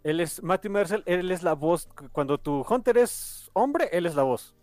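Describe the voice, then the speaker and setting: Man, Mexican accent, podcast host, rambling, eager, slightly high-pitched. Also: expressive, measured pace, darkish tone, no room sound, faint music behind. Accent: Mexican Spanish. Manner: rambling, enthusiastic, digressive. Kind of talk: casual podcast chat.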